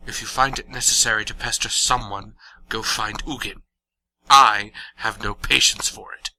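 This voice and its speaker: A man's voice: lowish voice, fairly even intonation, moderate pace, pushed and bright, rough recording. A man playing an ancient, coldly menacing vampire lord in an audio drama, speaking in an imperious, formal, disdainful way. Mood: menacing, impatient.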